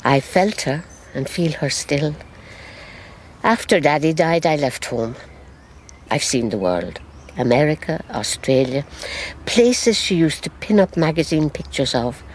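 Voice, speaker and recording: An actress playing an elderly Irish grandmother in a radio drama, recounting her past in a measured, sorrowful, wistful way. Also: sits low, big pitch swings, slight background noise. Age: older.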